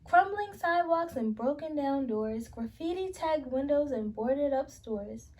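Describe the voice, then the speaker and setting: Female storybook reader, high-pitched, expressive read-aloud delivery. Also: measured pace, low-quality recording. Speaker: teacher reading a picture book aloud.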